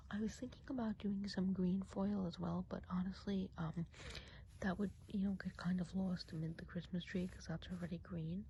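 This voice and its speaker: A woman as a hushed, gentle Christmas witch. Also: fairly low pitch, a little hiss or hum, poor audio. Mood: chatty, gentle. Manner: hushed, unhurried, chatty, often trailing off. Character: kindly Christmas witch of the woods, gentle and chatty. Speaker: ASMR role-play performer. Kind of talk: soft-spoken and whispered ASMR role play.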